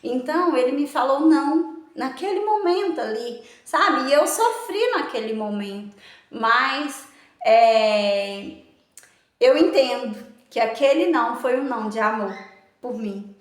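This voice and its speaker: A woman's voice: high-pitched, animated. Earnest woman sharing a testimony, echoey room. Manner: earnest, reflective, many pauses and fillers. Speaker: motivational speaker. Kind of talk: personal testimony to camera.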